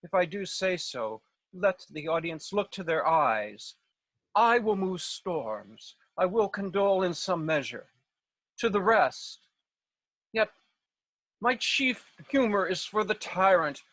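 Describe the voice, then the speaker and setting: A woman's voice: on the low side, big pitch swings, takes it slowly, a bit bright, no room sound, heavily acted. A woman playing a self-important, overeager male weaver in a Shakespeare read, declaiming theatrically. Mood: grandiose. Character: Nick Bottom, a bombastic, overeager male weaver and amateur actor. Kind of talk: Shakespeare table read over video call. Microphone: video call, close.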